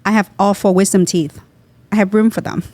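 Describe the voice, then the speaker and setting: A woman's voice: medium pitch, fairly even intonation, fairly quick, dark tone, no room sound. A woman from Vietnam, a guest dentist, explaining her practice in a podcast interview with an earnest, teaching manner and loose grammar. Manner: explanatory, earnest, drops small grammar words.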